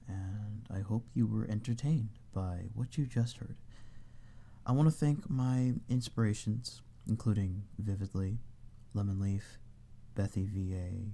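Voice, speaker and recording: Gravelly, mellow, low-key man. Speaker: amateur voice actor and creator. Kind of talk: casual outro thanking listeners.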